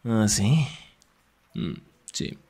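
A man acting a young, sarcastic and jealous best friend in an ASMR roleplay, teasing in a breathy voice that often drops toward a whisper. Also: lowish voice, expressive, drawn-out words, darkish tone, exaggerated voice. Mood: wry, teasing.

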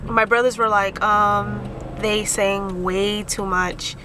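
A young woman in her twenties, chatting casually as a vlogger from a car's passenger seat into a phone held at arm's length, relaxed and chatty with frequent ums. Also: mid-range voice, slow. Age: young adult. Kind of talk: casual chat. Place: parked or moving car, passenger seat. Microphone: phone camera at arm's length. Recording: car noise in background.

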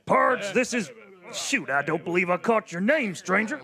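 A man voicing a gruff, folksy space cowboy with a drawl in a live radio play reading. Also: high voice, very animated, moderate pace, pushed and bright, full character voice. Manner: folksy drawl, says pards and shoot. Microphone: headset.

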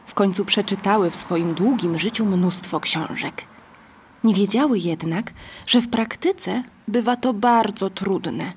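A woman reading scripted narration for a children's story, with a husky, breathy voice. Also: medium pitch, unhurried.

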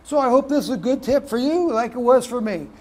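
Man, high-pitched, easygoing handyman host, rambling how-to chat, filler-heavy, recorded on camera mic indoors. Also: fairly quick. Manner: relaxed and chatty, with frequent "uh" and "okay". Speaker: electrician and video host. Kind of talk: casual how-to demonstration. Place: indoor room of a house under renovation.

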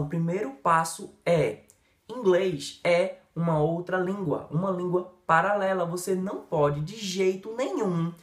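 A Brazilian man in his thirties with a Brazilian Portuguese accent, an English teacher giving an emphatic, energetic lesson straight to the camera. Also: sits high, roomy sound. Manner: lively, emphatic, talks straight to the viewer with many gestures. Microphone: camera in front, head and shoulders framing.

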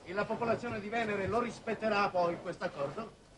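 An actor playing an idealistic, wordy head of a space commission in a 1960s Italian television drama, oratorical and halting. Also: male voice, sits high, close to an everyday voice. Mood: flustered, formal. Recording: noisy old television soundtrack.

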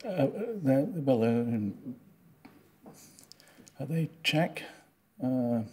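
A man on a studio quiz team, hesitating and halting as he weighs answers. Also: mid-range voice, dark tone, breathy edge. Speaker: quiz contestant. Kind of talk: team conferring on a quiz show. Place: television studio.

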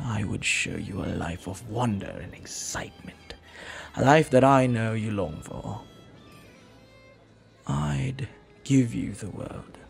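A man playing a flirty, lovelorn pirate captain in a romantic audio roleplay, speaking softly and earnestly with hesitant, lingering pauses. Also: lowish voice, vocal fry, heavily acted. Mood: earnest, hopeful.

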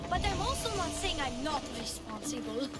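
A woman's voice: high-pitched, very animated, normal speed. A woman voicing a young boy who is a confident, salesy tour guide and huckster, in a video game. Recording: game music underneath.

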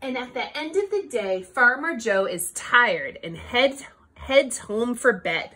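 A woman in her thirties reading a picture book aloud to young children in an expressive, engaging way. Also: a little high, takes it slowly. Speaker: storybook reader for children. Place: small living room, indoors on a couch.

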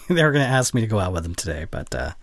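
A man playing a young, anxious but tender boyfriend in an intimate ASMR roleplay, speaking gently and reassuringly in a husky, often whispery voice. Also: on the low side, big pitch swings, fast talker, no room sound. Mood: tender, nervous.

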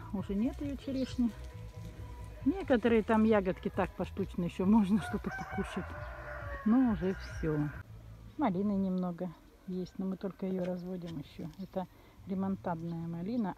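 A woman's voice: mid-range voice, darkish tone. A woman chatting in a homely way as she films her garden, often using diminutives. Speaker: vlogger showing her garden. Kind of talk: casual vlog commentary. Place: outdoor rural garden and yard. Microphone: phone camera held while filming.

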